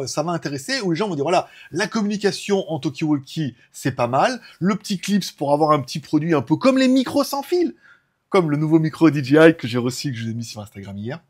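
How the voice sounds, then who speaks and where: A man with a husky voice, chatting informally and ramblingly as host of a tech show. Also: a little high. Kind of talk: casual tech chat.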